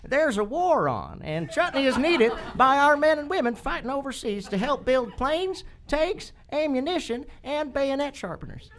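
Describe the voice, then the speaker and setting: An upbeat country storekeeper pitching goods in a parody vintage radio ad, acted by a woman. The voice is high and very animated, with exaggerated salesmanship and faint noise behind. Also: normal speed, few pauses. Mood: apologetic. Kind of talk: parody 1940s radio ad acting.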